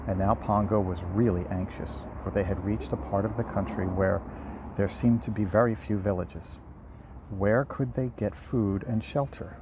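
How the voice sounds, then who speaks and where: Low, gravelly man reading a story outdoors. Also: steady tone, moderate pace. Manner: unpolished, stumbles and rereads lines. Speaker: amateur audiobook reader and host. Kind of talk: informal storybook read-aloud. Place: outdoors by a river. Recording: faint outdoor ambient noise. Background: faint outdoor ambience.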